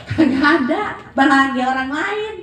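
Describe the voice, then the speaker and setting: Woman in her forties, fairly high voice, blunt and emphatic, roomy echo, away from the mic. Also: animated, moderate pace, fairly bright tone, recorded from a distance. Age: 40s. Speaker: network marketing presenter. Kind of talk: motivational talk.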